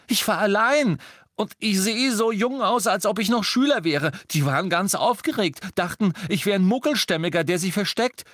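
A man's voice: on the high side, a bit bright, dry recording, clean studio sound, pitched above the performer's own voice. A man voicing a defensive, agitated teenage boy in an audiobook, insistent and earnest as he pleads his case. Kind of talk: audiobook character voice.

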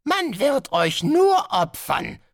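A man voicing a calm, polite guest master who calmly announces dreadful things, in an audiobook. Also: very high-pitched, no room sound, studio quality, pitched above the performer's own voice, full character voice. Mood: smoothly menacing. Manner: courteous, unhurried, casually sinister. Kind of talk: audiobook character voice.

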